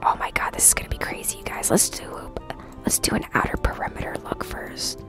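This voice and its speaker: A woman whispering breathily and chattily to viewers on a casual ASMR gaming live stream. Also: brisk. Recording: music behind the whispering. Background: clear background music.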